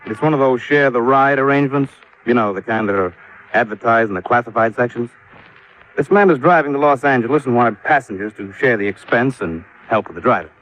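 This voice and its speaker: A man's voice: mid-range voice, a little fast, a bit bright, lightly acted. An actor playing a sober, businesslike company man in an old radio drama, earnest and explanatory. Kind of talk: 1950s radio drama acting. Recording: muffled vintage radio recording.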